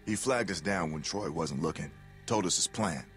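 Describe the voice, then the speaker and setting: Lowish, animated, sceptical survivor. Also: male voice, moderate pace, faint music behind. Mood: practical. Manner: blunt, challenging. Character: sceptical, practical adult male survivor. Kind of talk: dramatic video game acting.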